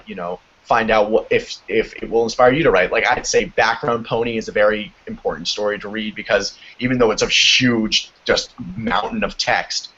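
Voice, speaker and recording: Deep-voiced teenage guy on a muffled call. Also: fairly bright tone. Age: teens. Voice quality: deep baritone. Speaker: guest on a writing advice stream. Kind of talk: casual group call discussion. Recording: muffled lo-fi internet call audio.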